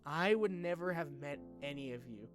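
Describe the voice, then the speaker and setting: A young man talking casually and unscripted like a YouTuber to followers, candid, self-deprecating and halting, with informal phrasing. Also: on the high side, lively intonation, soft background music. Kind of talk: casual unscripted vlog talk.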